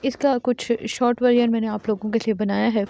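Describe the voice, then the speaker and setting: A woman teaching law, reading each statute out slowly, word by word, and then explaining it in plain terms. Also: a little high, fairly even intonation, gravelly, warm tone. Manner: reads English legal text slowly word by word, then explains in Urdu. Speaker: law lecturer.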